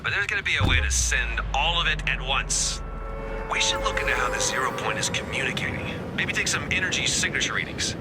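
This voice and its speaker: An eager, awkward scientist hero from a video game, acted out by a man. His earnest delivery swings up and down in pitch, with slightly hesitant phrasing over clear background music. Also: natural voice. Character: upbeat, awkward, earnest male scientist resistance fighter. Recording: music behind the voice.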